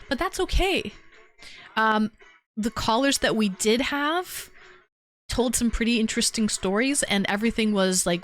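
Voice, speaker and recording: A young woman hosting a livestream, chatting casually with viewers and answering their comments, a little stuffy from a lingering cold. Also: on the high side. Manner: chatty, rambling, talks straight to chat viewers. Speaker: livestream host. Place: home.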